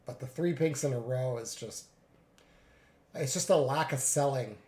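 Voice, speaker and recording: A man getting over a cold, a little congested, narrating his live trades in a relaxed way with many fillers. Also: mid-range voice, normal speed, echoey room. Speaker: futures day trader streaming live. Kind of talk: live trading commentary.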